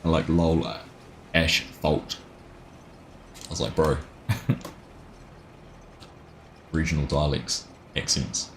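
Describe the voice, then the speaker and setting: Kiwi male streamer, very low voice, laid-back chatter over faint noise. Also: fairly even intonation, slow, pauses often, a bit bright. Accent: New Zealand. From New Zealand. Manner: chatty, laughs at himself, reads chat aloud. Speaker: livestream gaming host. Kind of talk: casual live gameplay chat.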